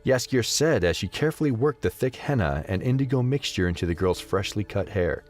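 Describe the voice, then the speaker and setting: Male narrator, deep and very varied in pitch, expressive scripted storytelling over background music. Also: brisk.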